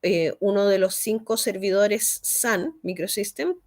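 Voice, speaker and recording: A middle-aged woman with a Chilean accent, telling stories in a chatty, expressive, colloquial way as an interview guest. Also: medium pitch, unhurried, dry recording, clean studio sound. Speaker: guest, law professor. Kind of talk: casual interview storytelling.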